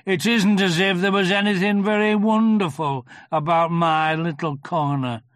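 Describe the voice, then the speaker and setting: A reader voicing a gloomy old male toy donkey in a children's storybook, gruff, ponderous and morose. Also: medium pitch, steady tone, moderate pace, dry recording, studio quality, voice pushed up, natural voice. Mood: gloomy, sarcastic. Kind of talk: children's storybook character reading.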